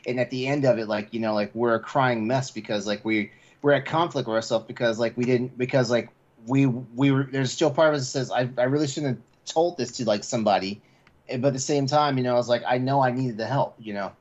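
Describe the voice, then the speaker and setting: A man's voice: mid-range voice, fairly quick, muffled recording. A man co-hosting a podcast in casual, candid chat, emphatic and repeating phrases as he goes. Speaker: podcast co-host.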